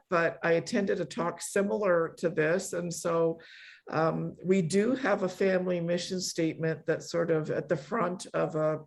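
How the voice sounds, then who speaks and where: A middle-aged woman from a Polish immigrant family, hosting an online meetup in a casual, effusive, emphatic way. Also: on the low side. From born in Poland, grew up in a Polish immigrant family. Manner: effusive, emphatic repetition, quick to laugh. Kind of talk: casual chat, thanking a guest.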